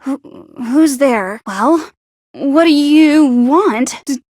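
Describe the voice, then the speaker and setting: A woman voicing an anxious, gloomy high school girl in an anime game dub, stammering and accusatory. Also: expressive, very slow, heavy background noise, exaggerated voice. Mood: wistful, hesitant. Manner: stammering, accusatory, easily flustered.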